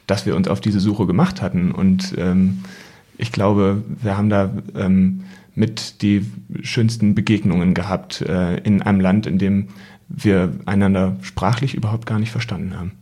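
A man's voice: low-pitched, mellow. A young man telling travel stories casually as a podcast guest, thoughtful and often searching for words.

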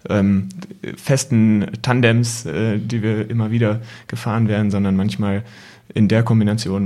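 A young man with a slightly low voice talks as a podcast guest. He recollects in a casual, reflective way, choosing his words thoughtfully and with some hesitation. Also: darkish tone. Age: young adult. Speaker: podcast guest, son of travelling family.

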